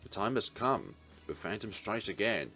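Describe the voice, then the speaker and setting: A man's voice: lowish voice, sing-song, moderate pace, vocal fry, sharp tone, soft background music. A man reading a visual novel's story aloud as a let's player. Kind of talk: reading narration and character lines aloud.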